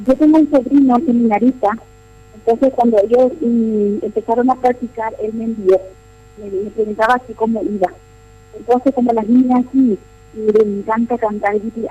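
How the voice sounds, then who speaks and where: A woman's voice: on the high side, steady tone, normal speed, highs cut off. A middle-aged nun answering interview questions in a chatty, explanatory way, often repeating her phrases. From Paraguay. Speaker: nun, missionary at a boarding school.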